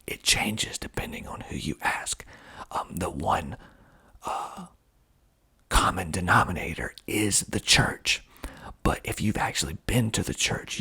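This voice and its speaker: A man's voice: low voice, creaky, sharp tone, slightly rough. A man whispering breathily in an ASMR style as he reads aloud, adding wry, understated asides. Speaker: filmmaker and actor reading reviews of own film.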